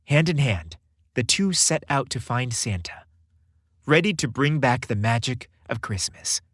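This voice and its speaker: A male narrator delivers scripted storybook prose with dramatic flair. His mid-pitched voice moves in lively swings, and the recording is dry with no room sound. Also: unhurried, breathy edge, no room sound.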